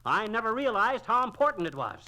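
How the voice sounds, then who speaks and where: An American actor playing a folksy, excitable, work-shy husband in a 1940s radio comedy, slangy and wisecracking. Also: male voice, sits high, brisk, heavily acted, low-quality recording. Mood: indignant, eager. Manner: flowery spiel, wisecracking, slangy. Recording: vintage 1940s radio recording.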